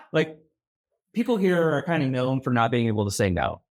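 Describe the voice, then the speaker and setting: A man's voice: mid-range voice, big pitch swings, moderate pace. Male co-host, casual, teasing, likes to ask pointed questions. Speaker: podcast co-host. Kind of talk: casual podcast chat.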